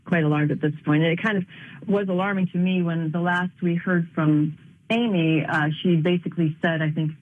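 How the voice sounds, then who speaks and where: A woman's voice: on the low side, fairly even intonation, fairly quick. A woman giving a radio interview by phone, earnest and reflective, often restarting her phrases. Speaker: guest, speaker and author. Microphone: telephone. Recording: tinny phone line.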